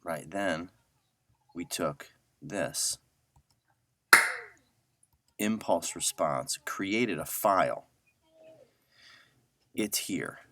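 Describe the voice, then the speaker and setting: A middle-aged man giving a casual audio software tutorial, talking informally and thinking aloud as he works. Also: low-pitched, lively intonation, extremely slow, pauses often. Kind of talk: casual screen-share tutorial.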